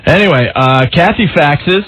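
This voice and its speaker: A man's voice: a little high, expressive, a little slow, fairly bright tone. A man hosting a radio show, teasing his co-host and reading out listener faxes. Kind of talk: casual banter on radio. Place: radio studio. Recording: dull lo-fi radio broadcast with faint hiss.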